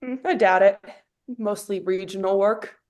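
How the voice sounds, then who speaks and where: A woman's voice: mid-range voice, measured pace, darkish tone, natural voice. A woman playing a laid-back but touchy young male actor in a sitcom table read. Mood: defensive.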